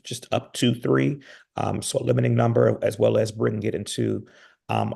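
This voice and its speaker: A man speaking to a committee while losing his voice, raspy and hoarse, earnest, with frequent fillers. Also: mid-range voice, darkish tone. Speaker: legislator. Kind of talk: formal remarks to a committee.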